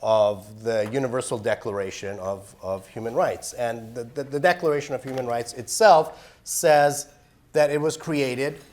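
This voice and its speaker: An earnest middle-aged man from Iran speaks at a live public forum. He explains his points in a clear, medium-pitched voice, pausing to rephrase as he goes, the way a human rights organization's director addresses an audience. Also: darkish tone.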